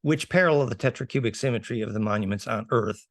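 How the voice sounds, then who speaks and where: A man's voice: medium pitch, quick pace, no echo, clean studio sound. A man explaining technical ideas as a guest lecturer, didactic and heavy with jargon, repeating words for emphasis. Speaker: guest lecturer on fringe physics. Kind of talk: technical explanation in a podcast interview.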